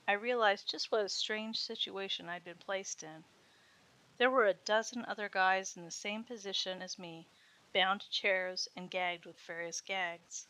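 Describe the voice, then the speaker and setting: A woman narrates a first-person story with a steady reading pace. Her voice is bright and crisp, and her tone sits in the middle of her range. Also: medium pitch. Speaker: story reader.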